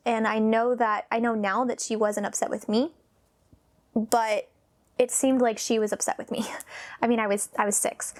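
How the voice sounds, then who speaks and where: Nervous, halting woman, slightly high voice. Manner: halting, many pauses and sighs, sometimes drops to a whisper. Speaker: vlogger. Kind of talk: personal confessional talk.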